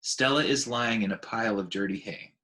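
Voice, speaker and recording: Low-voiced man reading a storybook. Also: fairly quick. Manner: expressive, with voiced dialogue. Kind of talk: storybook read-aloud.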